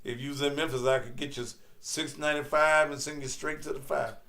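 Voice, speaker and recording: A man's voice: fairly high pitch, fairly even intonation, brisk, sharp tone. A man hosting a live call-in show, teasing callers and calling them baby. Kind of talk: casual live call-in banter.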